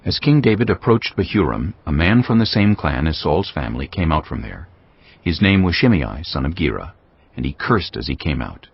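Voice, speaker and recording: A man's voice: extremely low pitch, sing-song, moderate pace, gravelly, breathy edge, dry recording. A man reading scripted scripture as the narrator of an audio Bible. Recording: dull lo-fi audio with noise.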